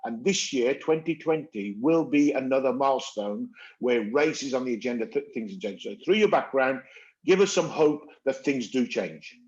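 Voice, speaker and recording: An older man leads the panel in a brisk, encouraging way, hurrying the speakers along. His voice sits in a middle pitch, and the recording sounds a bit dull and muffled. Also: a little mellow. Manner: brisk and encouraging, pressed for time. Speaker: moderator. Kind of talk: panel moderation.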